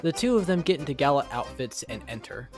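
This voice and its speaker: Man, mid-pitched voice, scripted story narration, dramatic reading, music clearly behind. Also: big pitch swings, a little fast.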